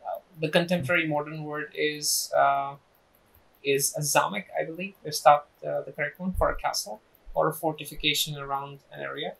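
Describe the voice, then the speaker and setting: Young man hosting a podcast, bright tone. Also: on the high side, fairly even intonation, creaky, low-quality recording. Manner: friendly, measured questioning with fillers. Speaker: podcast host. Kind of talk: casual podcast interview. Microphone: lapel mic.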